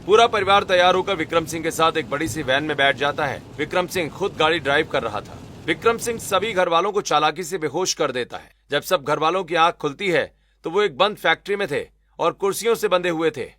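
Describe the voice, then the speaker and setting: Bright-voiced man narrating a story. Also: a little high, expressive, brisk, hardly any pauses. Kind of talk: scripted cartoon story narration.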